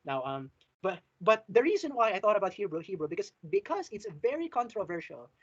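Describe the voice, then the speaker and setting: A man's voice: sits high, very animated, brisk. A man with a Filipino accent tutoring casually, switching between Tagalog and English and often repeating his phrases. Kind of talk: casual lesson chat, mixing Tagalog and English. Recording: dull lo-fi call audio.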